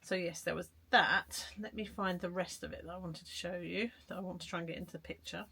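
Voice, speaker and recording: A woman vlogging from her shed, her voice hoarse and croaky from a cold. She talks in a chatty, rambling, self-deprecating way, with a gravelly rasp at the bottom of her voice. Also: lowish voice, fairly even intonation, brisk, sharp tone. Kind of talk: casual art vlog chat. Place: garden shed studio.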